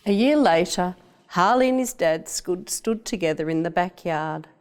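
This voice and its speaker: Animated Australian woman reading a children's story. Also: lowish voice, moderate pace, clear, resonant tone. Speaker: storybook reader. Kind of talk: scripted children's story narration.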